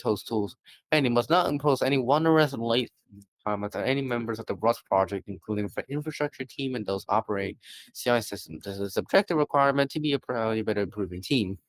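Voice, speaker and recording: A man's voice: on the low side, no echo, rough recording. A man reading a technical policy document aloud, often stumbling over words and correcting himself. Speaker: reader.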